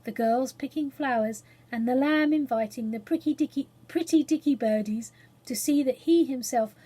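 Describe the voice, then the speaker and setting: Woman, children's storyteller, expressive narration, high-pitched, slightly husky. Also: moderate pace.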